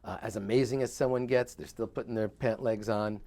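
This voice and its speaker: A man with a fairly low voice speaks as a guest author on a talk show. He talks earnestly and rambles, pausing often on fillers as he searches for words. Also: fairly even intonation, mellow. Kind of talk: relaxed interview talk.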